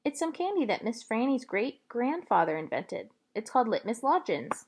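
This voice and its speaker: A woman plays an earnest young girl from a storybook. She is eager and stubborn, frank and polite, with animated rises and falls in pitch. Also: moderate pace, background noise. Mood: curious.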